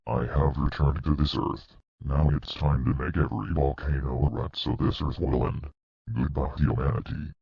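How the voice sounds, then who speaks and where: Gravelly, abyss-deep evil being. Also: male voice, little pitch movement, mellow, full character voice. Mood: menacing, gloating. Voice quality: gravelly, husky. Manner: slow menacing gloating. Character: ancient evil being returned to destroy the earth. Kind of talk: horror video villain monologue. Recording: dull lo-fi sound.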